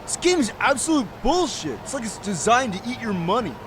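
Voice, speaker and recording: Young thug, aggressive, high wildly swinging voice, game, noisy street. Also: male voice, big pitch swings, a little fast. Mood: angry. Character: angry, foul-mouthed young male street punk. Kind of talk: aggressive game cutscene acting. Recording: noisy urban street ambience.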